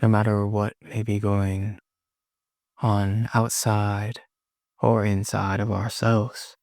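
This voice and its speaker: A man leading a guided meditation in a soothing manner, pausing often between short phrases. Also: fairly low pitch, level tone, darkish tone, no echo, studio quality. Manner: slow, pausing, soothing delivery.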